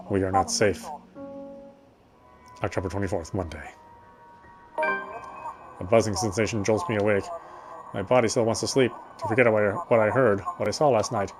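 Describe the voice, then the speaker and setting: A man reading a visual novel's text aloud as dramatic narration, solemn and a little halting. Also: lowish voice. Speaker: game player reading story text aloud.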